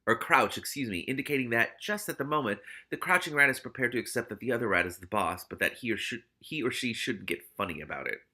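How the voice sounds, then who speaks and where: A man with a very bright, forceful voice reads a novel aloud as a host. His delivery is lively and swings widely in pitch, with casual asides between the narrated lines. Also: fast talker, hardly any pauses, breathy edge. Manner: animated, stumbles and breaks off to comment. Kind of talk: audiobook-style read-aloud with casual reactions.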